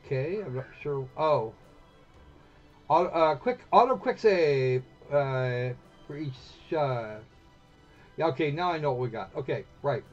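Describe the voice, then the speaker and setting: A man hosting a casual game live stream, talking in a rambling, halting way and repeating himself as he goes. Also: fairly high pitch, darkish tone. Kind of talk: casual live-stream commentary while setting up a visual novel. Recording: dull lo-fi mic with music behind. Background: background music.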